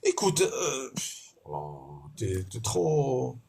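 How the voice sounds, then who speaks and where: A man's voice: medium pitch, huge pitch swings, extremely slow, vocal fry, dark tone, husky. A man in a heated online debate who hesitates, stammers and fills gaps with fillers, heard over a voice call.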